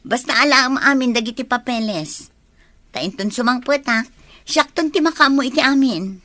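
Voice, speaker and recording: A woman with an Ilocano accent playing a decisive, well-off woman in a radio drama, brisk and businesslike. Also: high-pitched, lively intonation, pushed and bright, no echo. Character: decisive, well-off woman lending money from afar.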